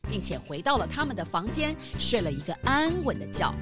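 A woman narrating a children's audio story in an expressive storytelling style. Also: mid-range voice, brisk, over music.

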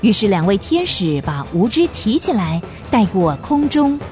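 A woman's voice: mid-range voice, big pitch swings, normal speed, darkish tone, soft background music. A woman narrating a radio drama as a storyteller, reading from a script.

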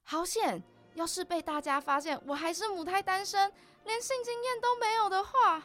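A woman's voice: very high-pitched, moderate pace, a bit bright, soft background music. A woman playing a capable but secretly anxious career woman in a podcast radio drama, with a theatrical delivery. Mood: panicked.

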